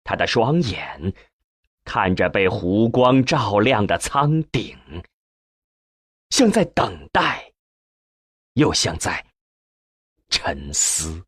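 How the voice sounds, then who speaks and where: A man reading a novel as a scripted narration, with measured, expressive storytelling and a husky voice. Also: lowish voice, no echo, clean studio sound. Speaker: audiobook narrator.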